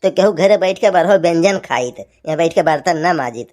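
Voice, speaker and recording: Woman playing a low-voiced grumbling Awadhi village husband. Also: expressive, moderate pace, darkish tone, natural voice. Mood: exasperated. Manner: folksy, complaining, scolding. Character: grumbling, teasing village husband stuck doing housework. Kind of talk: cartoon comedy dialogue.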